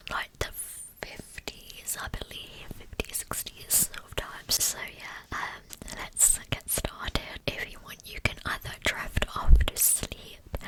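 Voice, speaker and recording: A woman whispering in a hushed, soothing way as the host of an ASMR true crime channel. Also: rough recording. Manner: hushed, soothing delivery meant for sleep.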